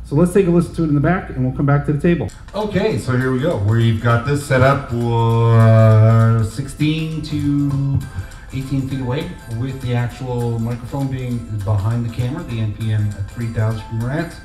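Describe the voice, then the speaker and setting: A man's voice: mid-range voice, moderate pace, soft background music. Chatty man demoing gear in a roomy shop. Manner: chatty, relaxed salesman patter with fillers. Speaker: store product demonstrator. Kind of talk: casual product demo. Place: large indoor audio gear shop. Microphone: headset and handheld mics, switched. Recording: roomy shop sound, faint background noise.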